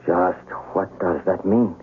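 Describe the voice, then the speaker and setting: An actor playing a proud, passionate young samurai in love, imperious and intense, in a radio drama. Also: male voice, low voice, fairly even intonation, slow pace, a little hiss or hum. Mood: disbelieving, anguished. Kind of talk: old-time radio drama acting. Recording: tinny band-limited old radio recording.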